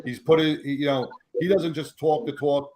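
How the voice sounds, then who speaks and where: A man's voice: fairly high pitch, fairly even intonation, normal speed, dark tone. A man co-hosting casual panel talk who speaks emphatically. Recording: crowd noise behind.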